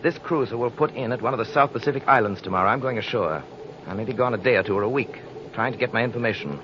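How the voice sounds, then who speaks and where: An actor in a vintage radio drama playing a calm, invisible male crime fighter with a formal, authoritative, measured manner. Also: mid-range voice, close to an everyday voice. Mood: brisk, confiding. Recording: muffled, noisy old radio recording.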